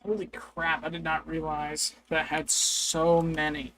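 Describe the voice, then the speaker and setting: A man with a slightly high, gravelly voice that sounds crackly and worn. He rambles to himself in a loose, casual way during a live stream. A faint background hum sits under the voice. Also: monotone, measured pace, a bit bright. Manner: rambling, talking to himself while playing. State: voice crackly and strained. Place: hot, humid room with two PCs.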